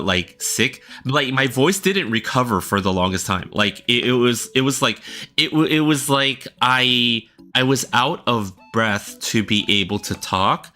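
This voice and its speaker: Male streamer, casual rambling chat to viewers, bright tone, faint music under. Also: mid-range voice. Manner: rambling, talks directly to viewers with frequent "right?". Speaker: livestreamer and illustrator. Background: faint background music.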